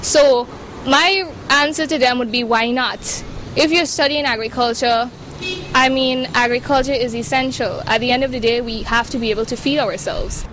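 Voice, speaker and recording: A woman's voice: a little high, moderate pace, a bit bright, a little hiss or hum, poor audio. A twenty-year-old woman, reporting outdoors into a handheld mic and speaking firmly and earnestly. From Guyana. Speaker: reporter and agronomy student. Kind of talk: news report and interview answers. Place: outdoors by a building.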